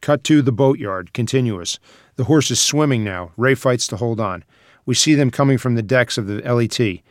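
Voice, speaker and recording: A man's voice: mid-range voice, normal speed, no echo, studio quality. A man reading out screenplay scene headings and stage directions as a scripted narrator.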